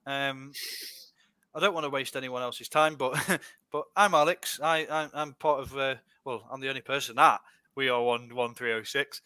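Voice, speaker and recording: A man's voice: medium pitch, steady tone, moderate pace, pushed and bright, smooth, dry recording. A young Scottish man hosting a casual streaming podcast, reading out viewer comments and chatting with a laugh in his voice. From Scotland. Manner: chatty, laughs easily, restarts a lot. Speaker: podcast host.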